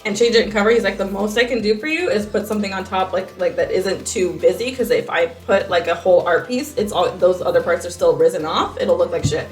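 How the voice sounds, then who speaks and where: A very quick-talking American woman co-hosting a casual podcast over light music. She tells personal stories with plenty of swearing and acts out what other people said. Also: mid-range voice, rough recording. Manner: profane, animated storyteller quoting people in voices. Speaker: podcast co-host. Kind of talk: casual comedic chat, telling personal anecdotes.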